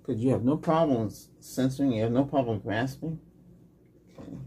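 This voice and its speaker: Middle-aged ASMR doctor, noisy recording. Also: male voice, medium pitch, normal speed. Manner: short soft questions and instructions, reassuring. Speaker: ASMR creator playing an ER doctor. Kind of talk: ASMR medical exam roleplay. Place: small indoor room set up as a clinic.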